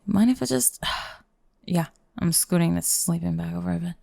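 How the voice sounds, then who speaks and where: A woman's voice: lowish voice, normal speed, mellow. A young woman playing a sardonic goth bully girl in an intimate ASMR roleplay, grumbling and teasing the listener up close. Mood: grudging annoyance. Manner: teasing, grumbling, sighing, dropping into breathy murmurs. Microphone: close microphone, dry.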